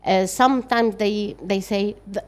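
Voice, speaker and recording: An older woman whose first language is Arabic speaks English with an Arabic accent at an ordinary middle pitch. She talks earnestly as an interview guest, often breaking off and restarting her sentences. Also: steady tone. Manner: earnest, halting with frequent restarts. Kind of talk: reflective interview conversation.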